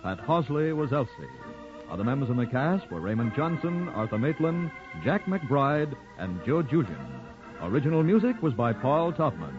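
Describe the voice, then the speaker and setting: A man's voice: medium pitch, big pitch swings, normal speed. A man reading scripted announcements in polished 1940s radio style. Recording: dull old radio broadcast recording. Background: music.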